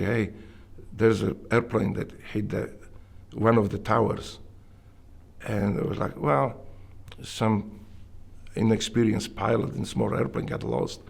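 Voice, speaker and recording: Gravelly Polish-accented man, husky and low. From Poland. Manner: earnest, halting with restarts and fillers. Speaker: interviewee, Navy SEAL veteran. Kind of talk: interview storytelling.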